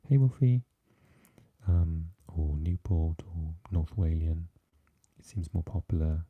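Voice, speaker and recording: A man with a Swansea Welsh accent, chatting softly with live viewers and often dropping into an unvoiced whisper. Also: takes it slowly, slightly rough, narrow, tinny audio. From Wales. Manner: mixes unvoiced whispering with low, deep speaking. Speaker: ASMR live streamer reading chat. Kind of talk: soft-spoken live chat with viewers.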